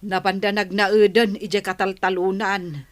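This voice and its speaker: Slow, blunt, aggrieved Ilocano housewife acted by a woman. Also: medium pitch, level tone, lightly acted. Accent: Filipino, Ilocano. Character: aggrieved village housewife, blunt, complaining mother-in-law. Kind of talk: radio drama acting.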